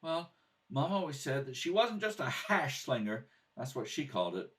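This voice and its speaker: A man's voice: medium pitch, very animated, moderate pace, pitched above the performer's own voice, close to an everyday voice. A man voicing a proud, earnest young boy who is protective of his family in a radio drama read-through. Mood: subdued, earnest. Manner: earnest, insistent. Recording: distant mic in a home kitchen.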